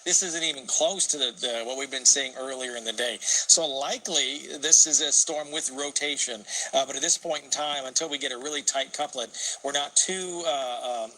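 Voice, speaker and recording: Very bright-voiced American male TV meteorologist. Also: medium pitch, normal speed, hardly any pauses, slight background noise, poor audio. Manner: explanatory, thinking aloud. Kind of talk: live unscripted severe weather commentary.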